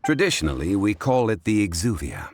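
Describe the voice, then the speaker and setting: A man voicing a composed, learned gentleman in a video game, speaking in a formal, measured, lecturing manner. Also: lowish voice, sing-song, no room sound. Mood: matter-of-fact. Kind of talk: video game cutscene voice acting.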